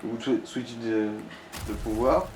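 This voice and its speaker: A man's voice: medium pitch, takes it slowly, darkish tone. A man chatting casually as he co-commentates a video game, giving informal, slangy step-by-step directions to the player. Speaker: let's play co-commentator guiding the player. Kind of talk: casual gaming chat. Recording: roomy echo with noticeable background noise.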